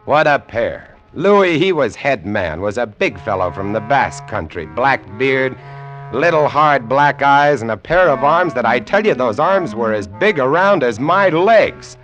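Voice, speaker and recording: A man's voice: mid-range voice, big pitch swings, unhurried, fairly bright tone, dull audio, faint music behind. An actor playing a lighthouse keeper who tells his sea tale vividly and dramatically, in old radio drama style. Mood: wry, reminiscing. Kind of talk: golden-age radio drama narration. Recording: old radio recording with musical underscore.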